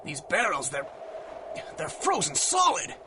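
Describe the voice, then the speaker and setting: An actor playing a jittery, defiant bootlegger in a 1930s-style radio drama, stammering and excitable. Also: male voice, a little high, unhurried, noisy background. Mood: uneasy. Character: jittery, defiant bootlegger gangster, adult man.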